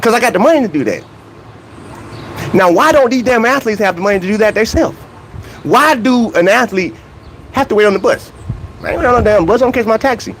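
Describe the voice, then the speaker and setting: A man's voice: high voice, animated, fast talker, long pauses, slight background noise, poor audio. A young man rants in a casual, comedic way about track and field. He laughs between points and keeps asking rhetorical questions.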